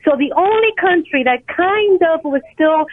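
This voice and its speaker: A woman's voice: high voice, lively intonation, normal speed, dry recording. An American woman calling a radio show over a phone line, talking earnestly and emphatically about politics. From United States. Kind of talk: earnest political call-in talk. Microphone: telephone.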